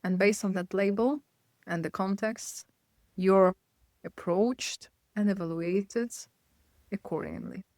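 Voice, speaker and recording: A woman from Poland, a guest consultant, answering interview questions in a thoughtful, measured way, often checking in with "right?". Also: mid-range voice, darkish tone, no room sound, clean studio sound. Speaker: guest, psychological safety consultant.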